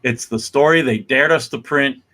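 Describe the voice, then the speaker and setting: A man's voice: mid-range voice, normal speed, sharp tone. A man giving a casual, unscripted introduction as presenter of a comics reading, in a hesitant, rambling way.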